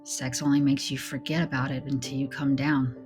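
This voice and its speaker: Actress as low-voiced, world-weary tough woman. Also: level tone, a little fast, darkish tone, soft background music. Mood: bitter, weary. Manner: blunt, heavy, unsentimental. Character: hardened, world-weary female badass carrying old loss. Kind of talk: audio drama acting.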